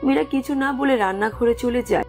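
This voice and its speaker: A woman's voice: on the high side, a little fast, darkish tone. Woman narrating a story over music. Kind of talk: scripted romantic story narration. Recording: music under the voice.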